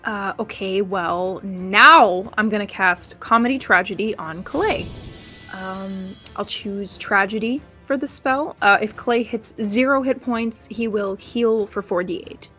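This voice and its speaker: A woman's voice: mid-range voice, unhurried, pushed and bright, faint music behind. A woman playing a tabletop roleplaying game, talking casually through her character's turns.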